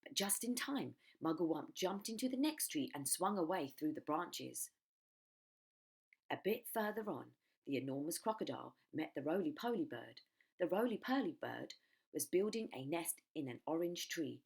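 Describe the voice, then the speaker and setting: A woman's voice: low voice, sing-song, moderate pace, gravelly, slightly rough. A woman narrating a children's storybook like a teacher, with dramatic, careful phrasing.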